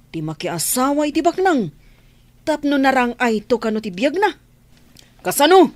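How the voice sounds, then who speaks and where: Nosy, animated young woman. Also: on the high side, dry recording. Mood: sceptical. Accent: Ilocano. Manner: probing, questioning. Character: nosy, outspoken young woman friend, sceptical. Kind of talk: Filipino radio drama acting.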